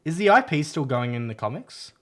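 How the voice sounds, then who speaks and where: A man's voice: fairly high pitch, very animated, a little fast, a bit bright. A man chatting casually about games as a co-host on a podcast.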